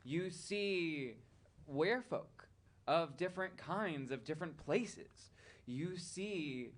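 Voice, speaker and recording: A man narrating a tabletop fantasy game as game master, halting as he improvises and drifting into casual asides. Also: on the high side, animated.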